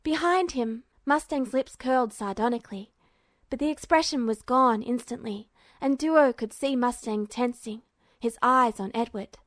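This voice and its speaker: High-voiced woman narrating a story. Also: moderate pace, dry recording. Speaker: fan fiction reader.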